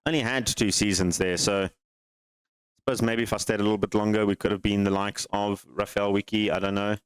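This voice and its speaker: A man's voice: lowish voice, steady tone, fairly quick, clear, resonant tone, no echo, poor audio. A man hosting a gaming channel, casually commenting on his game save as he thinks aloud, rambles and questions himself.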